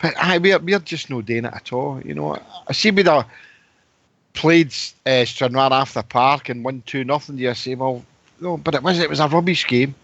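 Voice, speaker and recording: A man co-hosting a fan phone-in show, talking casually and haltingly, often repeating words. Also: mid-range voice, vocal fry, a bit bright, no echo, dull audio. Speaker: co-host.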